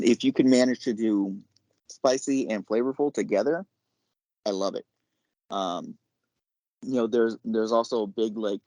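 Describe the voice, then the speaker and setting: Low, gravelly-voiced man chatting. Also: a little mellow, no echo. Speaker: podcast guest, chef and caterer. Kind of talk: casual interview chat.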